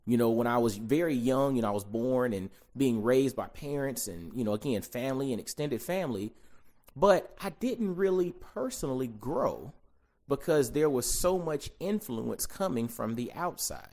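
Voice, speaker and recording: A man in his forties from Georgia, hosting a solo podcast in a reflective, conversational way. He repeats key phrases and slows down to stress them. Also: medium pitch, animated, a little mellow. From Augusta, Georgia, US. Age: 40s. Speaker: podcast host.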